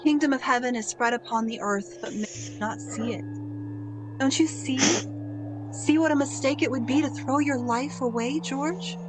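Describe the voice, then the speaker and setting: A woman playing a gentle, patient older male guardian angel who speaks calmly and solemnly. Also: sits high, over music, close to an everyday voice. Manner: calm, measured, solemn.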